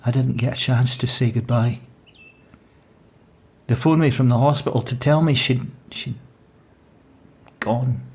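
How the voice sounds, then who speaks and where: An actor playing an older widowed man in an audio play, grieving and tender, speaking in a hushed, halting, reflective way with a husky voice. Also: medium pitch, muffled recording. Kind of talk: audio play dramatic monologue.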